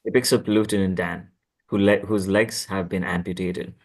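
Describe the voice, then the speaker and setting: Low-voiced man reading stage directions. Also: steady tone, moderate pace. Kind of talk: script read aloud at table read.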